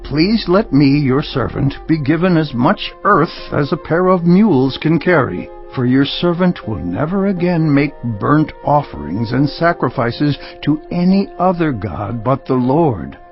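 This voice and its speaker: An actor playing a proud army commander in a dramatized scripture audiobook, speaking emphatically. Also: male voice, a little high, sing-song, a little slow, few pauses. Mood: humbly earnest. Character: proud, hot-tempered army commander turned humbly grateful. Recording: dull lo-fi sound, faint music bed.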